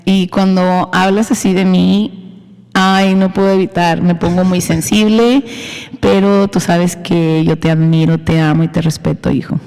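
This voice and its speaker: A woman guest speaking in casual interview style, emotional and affectionate, softly hesitant. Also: fairly low pitch, little pitch movement, a little slow, smooth, long reverb tail.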